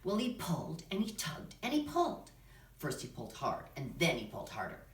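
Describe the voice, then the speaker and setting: A woman with a slightly low voice works as a drama teacher, explaining and narrating children's stories. She is recorded from a distance in a roomy, echoing space. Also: wildly swooping pitch, a little fast. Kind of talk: instructional talk and children's story narration. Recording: echoey room, distant microphone.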